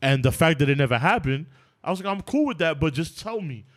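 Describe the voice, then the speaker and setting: A man in his late twenties with a fairly high voice talks quickly in casual slang. He is insistent, jumping in over others as a podcast panelist would. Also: expressive. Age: late 20s. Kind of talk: casual heated group chat.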